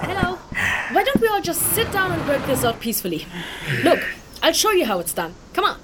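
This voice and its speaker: High, bubbly, cheeky young human woman acted. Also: very animated, brisk, very noisy. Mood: chirpy. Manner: bubbly, flippant, dramatic asides. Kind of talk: fantasy audio drama acting.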